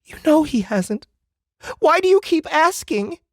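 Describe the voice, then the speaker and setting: Man voicing an emotional mother, pitched high. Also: big pitch swings, a little slow, no room sound, clean studio sound, exaggerated voice. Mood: pained, stunned. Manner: pleading, emotional, intense. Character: worried, emotional mother of a conscripted boy.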